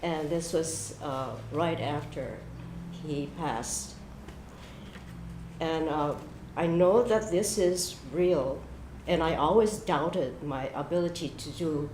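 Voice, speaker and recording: A woman's voice: low-pitched, dark tone. A woman giving an earnest church talk, laughing easily. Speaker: church speaker. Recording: echoey hall with faint room noise.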